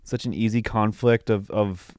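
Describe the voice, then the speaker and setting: A man with a fairly low, clean voice talks as a podcast co-host in casual film-review chat. He is opinionated and swears a little. The sound is dry, with hardly any room in it. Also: steady tone, moderate pace, darkish tone, dry recording. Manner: opinionated, mildly profane.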